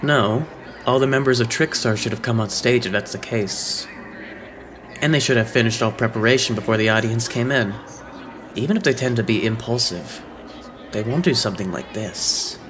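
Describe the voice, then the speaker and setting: Guy acting smooth teasing anime idol boss, composed, mid pitch, bit of noise behind. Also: moderate pace, close to an everyday voice. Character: suave, teasing young male idol leader, slyly playful.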